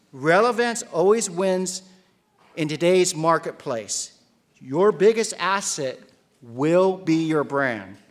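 A middle-aged man delivering a prepared, formal motivational commencement speech at a podium microphone, deliberate and halting, with emphatic pauses. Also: sits high, fairly bright tone. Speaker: commencement speaker, brand strategist. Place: large theater stage at a podium.

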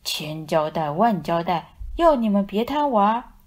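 一位女性在童话绘本里扮演严厉、爱数落人的农场大黑狗。. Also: female voice, mid-range voice, very animated, a little slow. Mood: scolding.

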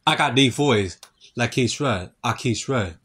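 A man speaking slowly in short, broken phrases, repeating greetings over and over. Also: medium pitch, animated, a bit bright, breathy edge. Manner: slow, repetitive, halting broken phrases. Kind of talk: repetitive phone-style greetings. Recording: faint background noise.

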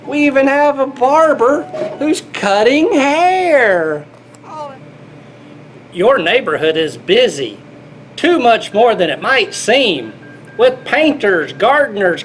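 Middle-aged man, unhurried picture-book reading, playful child-directed delivery, exclamations, noticeable background noise. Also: very high voice, a bit bright. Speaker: grandfather reading to children, air traffic controller. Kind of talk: children's picture-book read-aloud with commentary. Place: small indoor airport control room.

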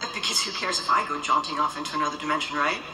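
Cocky monster hunter, breezy and mocking, sounds far off in an echoey room. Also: female voice, sits low, racing, pushed and bright, slight background noise. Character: smug, scheming monster hunter woman. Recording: echoey room, heard from a distance.

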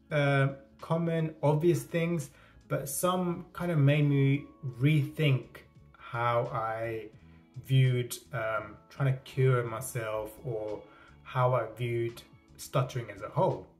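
A man in his thirties talks to the camera in a small room, stuttering through repeated words and restarts. His speech is slow and unhurried, at a middle pitch. Soft guitar music plays faintly behind him. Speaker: vlogger. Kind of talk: casual talking-to-camera vlog.

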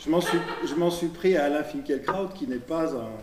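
A middle-aged man from Switzerland replying spontaneously in a debate, halting and repeating words. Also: fairly high pitch, a crowd in the background. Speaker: writer and panelist. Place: small dim event venue with audience. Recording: echoey room, distant mic.

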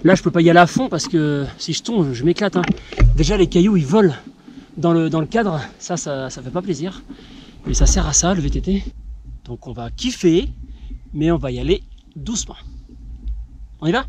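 French guy vlogging on a mountain trail, kinda high voice, thinking out loud, gets all excited. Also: normal speed, pauses often, noisy background. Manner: excited exclamations, thinking aloud. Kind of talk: casual travel vlog commentary. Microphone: action camera or smartphone.

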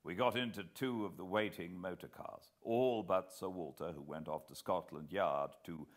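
A British man in his fifties narrating a story in a measured, expressive, dramatic way. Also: sits low. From Britain. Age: 50s. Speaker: audiobook narrator. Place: living room beside a fireplace, indoors.

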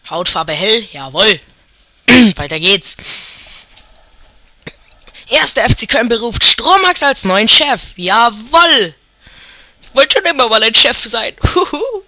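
Slow, bright-voiced young gamer guy. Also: extremely high pitch, animated, dry recording. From Germany. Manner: rambling, thinks aloud, chuckles often. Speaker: let's player. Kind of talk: casual gameplay commentary.

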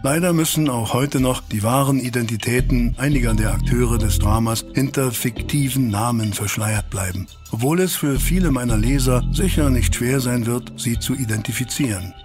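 A man playing a courteous Victorian gentleman who chronicles detective cases in a formal, measured, literary manner for a radio play. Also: mid-range voice, natural voice. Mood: measured, reflective. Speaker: narrator and lead actor in an audio drama. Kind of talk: radio play narration and dialogue. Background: music.

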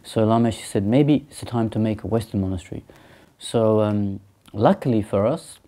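A man in his thirties, from Sri Lanka and raised in England, a Buddhist monk answering interview questions thoughtfully, with many pauses and restarts. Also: lowish voice, warm tone. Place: small indoor room by a shrine.

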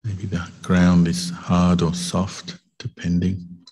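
A man leading a guided meditation as a teacher, speaking calmly and gently with deliberate pauses between short phrases. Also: low voice, husky. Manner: calm, deliberate, gentle instruction with long pauses. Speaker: Buddhist monk meditation teacher.

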